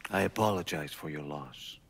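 A man playing a menacing, coldly courteous drug supplier in a video game, speaking formally and deliberately with an Italian accent. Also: a bass voice, vocal fry, a bit bright, slightly rough, full character voice. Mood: coldly polite. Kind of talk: dramatic video game dialogue.

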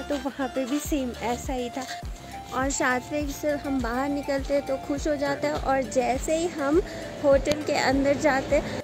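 A woman in her thirties filming a casual family travel vlog outdoors on a phone held at arm's length, chatting to viewers and pointing out the sights. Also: high-pitched. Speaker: family vlogger. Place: outdoors on streets and in a hotel garden. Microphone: phone camera held at arm's length. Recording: background music over the voice.

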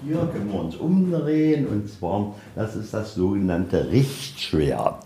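A man's voice: lowish voice, big pitch swings, measured pace, mellow. A man, middle-aged, giving an informal, matter-of-fact guided tour in a colloquial way, often posing questions and answering them. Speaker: museum guide. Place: inside a museum, walking tour.

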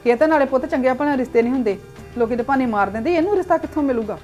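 A woman plays a sharp-tongued village mother in a comedy sketch. She scolds and nags her sons in a high, quick voice, with faint music underneath. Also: muffled recording, lightly acted. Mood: scolding. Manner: scolding, nagging.

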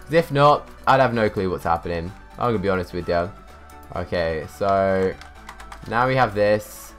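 A young man with a fairly low voice streams a game from a room at home. He thinks out loud in a casual, chatty way and reads comments from viewers. Music plays behind him. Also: expressive, normal speed. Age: young adult. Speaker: livestreamer. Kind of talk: casual live gaming commentary, reading chat aloud. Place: indoors, small home room.

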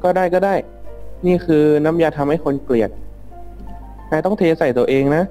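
A man's voice: fairly high pitch, lively intonation, normal speed, warm tone, soft background music, close to an everyday voice. A man voicing an exasperated but helpful robot cat in a cartoon fan drama, talking casually. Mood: resigned, helpful.

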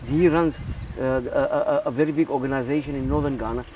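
A man's voice: medium pitch, fairly even intonation, quick pace, darkish tone, far from the mic, slight background noise. A man from Zimbabwe who runs a charity, talking earnestly in a casual interview and often repeating words as he restarts. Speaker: charity founder.

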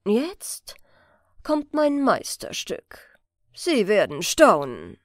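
A woman's voice: mid-range voice, wildly swooping pitch, drawn-out words, dry recording, clean studio sound, exaggerated voice. A woman voicing a hot-tempered, boastful male master thief in an audiobook, scornful and emphatic.

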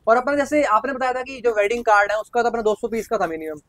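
A man with a high voice talks fast as he vlogs through a small shop, asking questions and exclaiming approval at everything he sees. His voice sounds close and dry, recorded on a handheld camera with no room echo. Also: a bit bright. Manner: eager, keeps exclaiming approval and repeating prices back. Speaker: vlogger touring a shop. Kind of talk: enthusiastic market vlog, questioning a seller. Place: small indoor wedding card shop.

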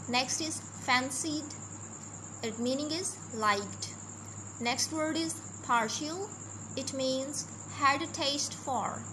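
Brief: Pakistani woman teacher, fairly high voice, voice-over lecture, noisy background with crickets. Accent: Pakistani English. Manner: steady explanatory pace with frequent "uh" fillers. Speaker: college English lecturer. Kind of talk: recorded revision lecture over slides. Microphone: voice-over for a slide video.

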